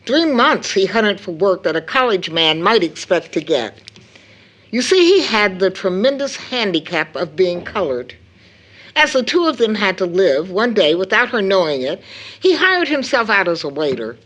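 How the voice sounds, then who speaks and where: An actress playing a fond, tired, gently chiding mother in an audio drama, with a measured way of talking. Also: medium pitch, big pitch swings. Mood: confiding. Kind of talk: stage-play audio drama acting.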